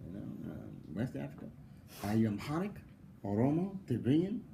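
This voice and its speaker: A man speaking as a philosophy professor, his pitch jumping all over as he fires off mocking rhetorical questions. He laughs easily and hammers his points home by repeating them. A faint hiss sits under the voice. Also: big pitch swings, moderate pace, creaky, mellow. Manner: emphatic, repeats points for stress. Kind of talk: conversational interview answer.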